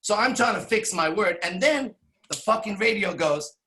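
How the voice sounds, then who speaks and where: A man's voice: high voice, expressive, moderate pace, pushed and bright. A man in his forties telling a rambling, profane personal story, full of restarts and tag questions. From Iran. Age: 40s. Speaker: podcast host. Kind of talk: candid storytelling monologue.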